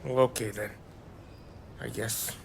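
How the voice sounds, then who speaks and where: A man's voice: lowish voice, steady tone, a little slow, creaky, very noisy. A man playing a childish, rambling drunk in a video game. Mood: drunken, petulant. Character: rambling, childish drunken male race fan. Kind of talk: video game character acting.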